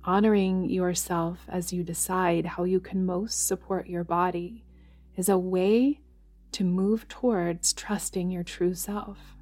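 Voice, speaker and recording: A woman leading a guided yoga nidra meditation in a gentle, soothing manner, leaving long pauses between phrases. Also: lowish voice, fairly even intonation. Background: background music.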